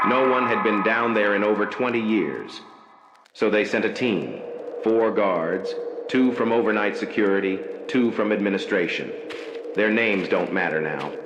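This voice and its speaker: A man reading a scripted horror story as narrator, slow, measured and ominous in delivery. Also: lowish voice. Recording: echoey room with background noise.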